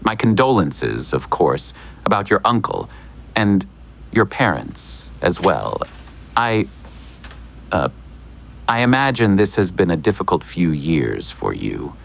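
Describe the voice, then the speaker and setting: An actor playing a smooth, evasive small-town lawyer in an audio drama, speaking in a measured, theatrical, hinting way. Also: male voice, low-pitched, sing-song, full character voice. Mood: apologetic.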